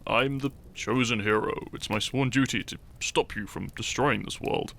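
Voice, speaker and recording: A man playing an earnest, shy young hero in a roleplay audio drama, speaking to the listener in a halting, theatrical way. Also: medium pitch, gravelly, fairly bright tone. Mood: flustered, earnest. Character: shy young hero, earnest, secretly fond of his foe.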